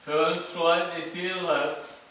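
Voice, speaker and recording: A man directing a stage play, giving halting, instructive notes to actors, recorded from a distance in an echoey room. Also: fairly high pitch, flat delivery, sharp tone. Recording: distant mic, echoey room, faint noise.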